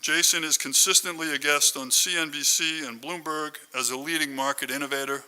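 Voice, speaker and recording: Bright, clear-voiced man introducing a speaker. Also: a little high, fairly even intonation, fairly quick, rough recording. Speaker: conference host introducing keynote speaker. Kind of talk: formal prepared introduction speech.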